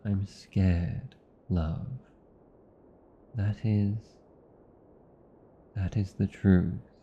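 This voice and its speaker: A British man playing a protective, anxious vampire lover in a romantic ASMR roleplay, speaking formally and earnestly with deliberate pauses. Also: deep voice, vocal fry, lo-fi sound, full character voice. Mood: vulnerable, pleading. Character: protective, anxious old vampire lover, tender yet arrogant.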